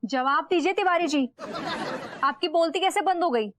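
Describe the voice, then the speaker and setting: A woman's voice: extremely high pitch, normal speed, a bit bright, some chatter behind. An actress playing a polished, insistent modern wife who mixes English words into her speech.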